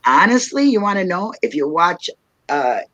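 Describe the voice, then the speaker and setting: A woman's voice: lowish voice, sing-song, moderate pace, fairly bright tone, muffled recording. A woman from Minnesota with a Minnesotan accent, chatting casually as a livestream guest and laughing often. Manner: laughs often, jokey and folksy. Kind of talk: casual chat.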